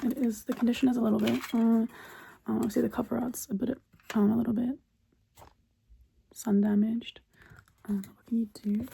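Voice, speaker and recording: Casting: woman for ASMR shop roleplay, breathy whisper, very dark and soft tone, heavy vocal fry, unhurried. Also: a little high, slight background noise. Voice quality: breathy whisper, mostly unvoiced. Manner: unhurried, soft-spoken, intimate. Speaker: ASMR roleplay host as cassette shop keeper. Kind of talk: whispered ASMR, casual music chat.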